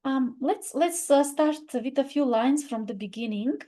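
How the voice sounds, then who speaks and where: A woman's voice: sits high, a little slow, warm tone. A woman whose first language is Armenian, speaking English in an unscripted, reflective talk about poetry, with many fillers and restarts. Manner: reflective, thoughtful. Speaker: literature video presenter.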